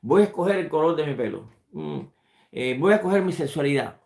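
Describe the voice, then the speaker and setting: Gravelly-voiced man teaching in an echoey room. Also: on the high side, animated, a little mellow. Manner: halting, repeats words while searching for them. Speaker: rabbi teaching a study class. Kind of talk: unscripted religious lesson.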